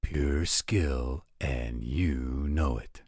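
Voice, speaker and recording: Man as a cocky werewolf, extremely low, very raspy, blunt, unhurried, roleplay audio drama. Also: darkish tone, no echo, full character voice. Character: gruff, defiant, cocky male werewolf.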